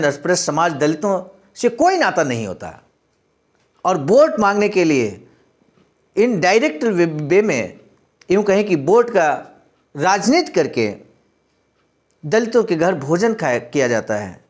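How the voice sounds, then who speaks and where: Earnest, high-pitched man addressing listeners. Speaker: speaker reading and commenting on a historical speech. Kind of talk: read-aloud speech with earnest explanatory commentary.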